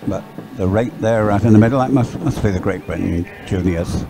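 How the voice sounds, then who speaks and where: A man's voice: lowish voice, brisk. A man giving chatty, unscripted live sports commentary, often hesitant and thinking aloud as he goes.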